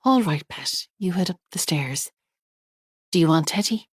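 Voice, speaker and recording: A woman voicing a patient young mother who speaks gently and reassuringly. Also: lowish voice, lively intonation, unhurried, slightly rough, no echo, studio quality. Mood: soothing.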